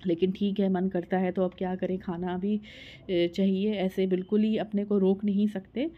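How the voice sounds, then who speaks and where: A woman's voice: medium pitch, level tone, normal speed, dull audio. A woman in her thirties from India, narrating her home vlog in a voice-over, chatty and explaining each step with frequent filler sounds. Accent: Hindi. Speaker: vlogger.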